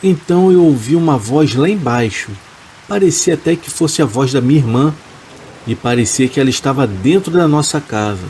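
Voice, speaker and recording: A man calmly reading first-person horror stories in a steady, scripted narration. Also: mid-range voice, normal speed. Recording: faint rain and hiss behind the voice. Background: rain sounds.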